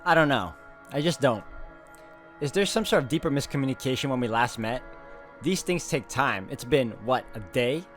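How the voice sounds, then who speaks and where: A man's voice: medium pitch, lively intonation, normal speed, faint music behind. Man reading a visual novel aloud. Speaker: video game walkthrough host.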